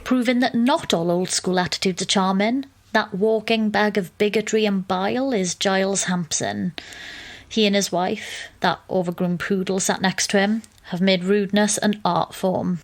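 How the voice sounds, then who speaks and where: A woman's voice: medium pitch, steady tone, normal speed, close to an everyday voice. An actress playing an exasperated young woman who narrates an audio drama in a wry, sardonic manner.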